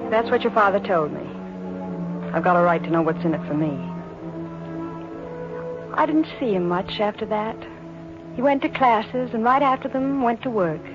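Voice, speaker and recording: A woman's voice: medium pitch, lively intonation, normal speed, long pauses, close to an everyday voice. An actress playing an earnest, inquisitive woman who narrates and acts in a 1940s radio drama. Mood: reflective. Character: earnest, inquisitive woman recalling her youthful courtship. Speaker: narrator and leading lady. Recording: muffled 1940s radio recording, music.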